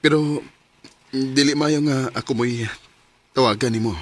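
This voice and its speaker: A man's voice: medium pitch, fairly even intonation, natural voice. A male actor with a Cebuano accent playing a conflicted young business owner in a radio drama, speaking hesitantly. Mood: troubled, torn. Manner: hesitant, halting. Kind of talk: Philippine radio drama acting.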